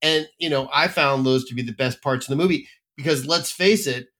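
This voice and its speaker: A man's voice: medium pitch, brisk, fairly bright tone. A man co-hosting a casual film review chat, giving blunt and emphatic opinions. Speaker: film critic co-host.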